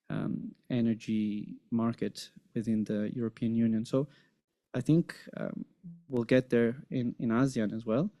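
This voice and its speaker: A man speaking as a panelist in a conference discussion, measured with frequent ums. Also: mid-range voice, warm tone, no echo.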